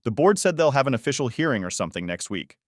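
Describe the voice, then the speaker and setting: A man's voice: mid-range voice, expressive, moderate pace, no echo, clean studio sound, voice pushed up, natural voice. A man voicing a distraught, anxious wife who pleads in agitation in an audiobook drama. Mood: agitated, anxious.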